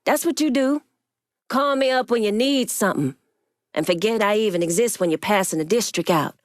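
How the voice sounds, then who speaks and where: A woman's voice: mid-range voice, expressive, fairly quick, natural voice. Woman acting a stern, commanding female gang leader. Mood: guarded, reproachful. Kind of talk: video game dramatic voice acting.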